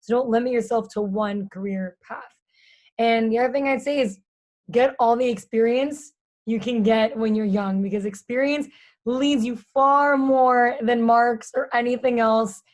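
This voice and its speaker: A young woman with a raspy, fairly high voice talks through a relaxed podcast interview. She chats openly and candidly, stringing her thoughts together with plenty of "like"s. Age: 20s. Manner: chatty and candid, fast run-on sentences full of "like". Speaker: guest, stadium and social media host.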